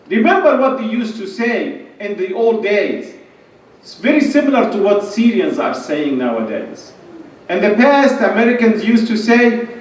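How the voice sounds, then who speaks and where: A man's voice: high-pitched, darkish tone. A middle-aged man giving an impassioned, emphatic religious and political speech in short repeated phrases. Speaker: conference speaker. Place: large indoor lecture hall at a podium. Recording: echoey hall, distant microphone.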